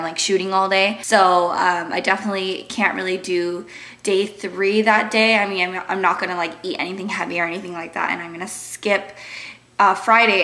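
A young woman in her twenties chatting to a handheld camera at arm's length, a little raspy from just waking, upbeat with many fillers. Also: mid-range voice, pushed and bright. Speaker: vlogger. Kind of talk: casual vlog chat to camera. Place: apartment rooms, gym and car. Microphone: handheld fisheye action camera at arm's length.

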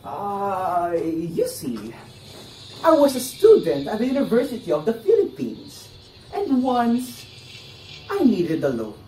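Man acting young nervous ex-convict, theatrical stage drama, hesitant, very animated pitch, distant mic. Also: takes it slowly, full character voice. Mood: explaining. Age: young adult. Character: nervous, defensive ex-convict pleading his innocence. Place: indoor theatre set, far camera. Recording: echoey stage, distant mic, faint noise.

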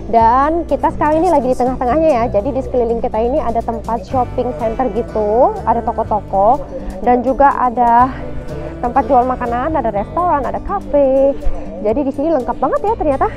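Upbeat woman travel vlogger, high voice, casual and chatty, faint music underneath. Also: fairly quick, lo-fi sound. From Indonesia. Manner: chatty and upbeat, addresses viewers as guys, drops English words in. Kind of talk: casual travel vlog narration.

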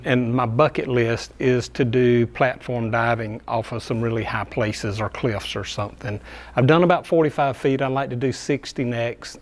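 An older man from Georgia, the managing partner, chatting casually with a room of summer interns in a conference room. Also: mid-range voice. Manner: relaxed, folksy, gestures broadly while speaking. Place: carpeted conference room with a seated group. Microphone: camera on a tripod across the room.

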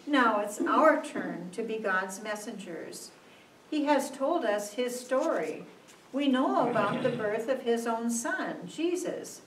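A woman with a fairly high voice reads a devotional story slowly, phrase by measured phrase. She narrates as if for a church puppet show. The sound is roomy, picked up from a distance. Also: expressive. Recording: echoey room from far off, noisy.

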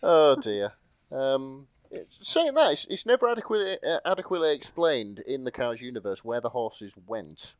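A man hosts a comic podcast in a casual, wry way. His voice sits at an ordinary mid pitch, recorded dry with hardly any room around it. He explains things patiently and slips into reading aloud. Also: sing-song, moderate pace, no echo. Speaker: podcast host reading and recapping fan fiction.

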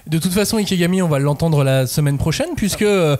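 Fairly high-voiced French podcast host. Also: male voice, a little fast, darkish tone. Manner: hesitant, many fillers. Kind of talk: casual podcast discussion.

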